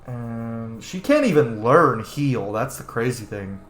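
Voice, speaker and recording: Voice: mid-range voice, lively intonation, slow pace, reverberant. A video game streamer giving casual let's play commentary, informal and sweary, thinking aloud.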